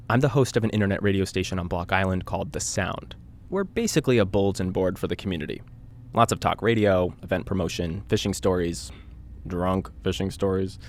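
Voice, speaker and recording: A man playing an earnest island radio host, narrating an eerie mystery in a measured, conversational podcast style. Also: low-pitched. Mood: earnest, uneasy. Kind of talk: scripted audio drama narration, confessional podcast.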